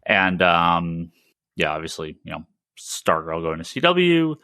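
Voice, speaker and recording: A man's voice: low-pitched, big pitch swings, measured pace, pushed and bright, dry recording. A man hosting a casual, informal discussion of comics news. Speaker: podcast host.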